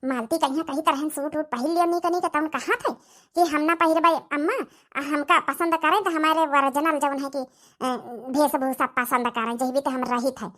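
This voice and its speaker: A woman's voice: high-pitched, fairly even intonation, normal speed, fairly bright tone. A woman voicing a chatty, insistent, scheming village mother with an Awadhi accent in a cartoon comedy. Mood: complaining. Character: scheming village mother pushing her favoured daughter. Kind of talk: rustic cartoon comedy acting.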